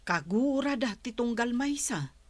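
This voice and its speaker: A woman's voice: fairly high pitch, lively intonation, measured pace, dry recording. A woman playing a weary, patient village mother who pleads with and admonishes her children, in an Ilocano-accented radio drama. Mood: resigned, troubled. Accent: Ilocano, northern Philippines.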